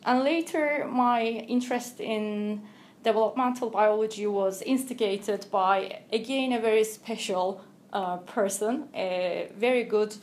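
A woman from Turkey, a researcher guest answering interview questions in a careful, explanatory way with thoughtful pauses. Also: medium pitch, a little mellow, slight background noise.

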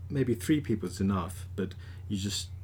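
Brief: man, 40s, deep voice, halting and thoughtful potter interviewee, faint background noise. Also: darkish tone. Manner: halting, thoughtful, many restarts. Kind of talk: casual interview answers. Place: outdoors by a kiln.

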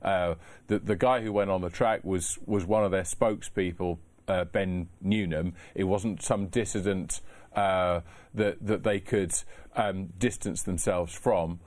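A man with a fairly low voice who talks reflectively and at length, restarting his sentences as he thinks them through. He is a racing journalist in a studio, recorded close and dry. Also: steady tone, measured pace, a little mellow, dry recording. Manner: reflective, expansive with many restarts. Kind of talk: studio discussion. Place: radio studio.

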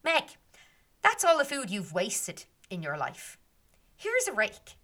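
A woman's voice: a little high, wildly swooping pitch, takes it slowly, pushed and bright, full character voice. A woman voicing a band of small fairies who scold and give orders. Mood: mocking. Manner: scolding and commanding.

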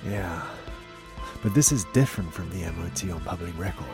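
A weary detective played by a man speaks in a low, natural register. He is evasive and world-worn, like the lead of a modern audio drama. Music sits faintly behind him. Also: brisk, lightly acted. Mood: doubtful, evasive. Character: weary, evasive male private investigator with troubled marriage. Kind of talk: naturalistic radio drama dialogue.

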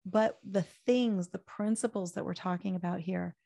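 An older woman talk radio host with a hoarse voice and a gravelly, creaky edge. She speaks with fiery, preachy conviction and then winds down into a chatty sign-off. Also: mid-range voice, steady tone, moderate pace, warm tone. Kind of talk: impassioned political talk show.

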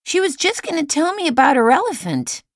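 Woman voicing an insistent young boy, high-pitched. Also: very animated, fairly quick, dry recording, clean studio sound. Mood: indignant. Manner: insistent, colloquial. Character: inquisitive, stubborn young boy, indignant and eager.

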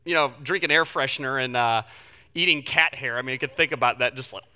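A man's voice: mid-range voice, quick pace, pushed and bright, clear, resonant tone. A man preaching a casual, conversational sermon to a congregation, chatty and joking with self-deprecating asides. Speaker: pastor. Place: church in front of a live congregation.

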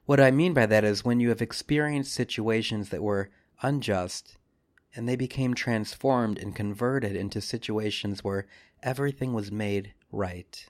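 A man with a fairly low voice reads a guided prayer meditation in the role of a priest. His phrasing is solemn and measured, with deliberate pauses between short lines. The sound is close and dry, with little room around it. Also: no echo. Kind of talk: scripted devotional reading and guided meditation.

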